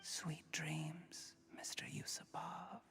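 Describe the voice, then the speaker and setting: A man's voice: on the high side, flat delivery, slow, gravelly, whispered. An actor playing a scheming young male poisoner in a video game, courteous, calculating and self-justifying in manner. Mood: quietly menacing.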